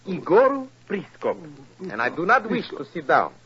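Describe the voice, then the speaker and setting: An actor playing an agitated, touchy foreign gentleman with a foreign accent and blunt, stilted English, in 1940s radio style. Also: high voice, wildly swooping pitch, normal speed, crowd noise. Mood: agitated, offended. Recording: muffled old radio recording.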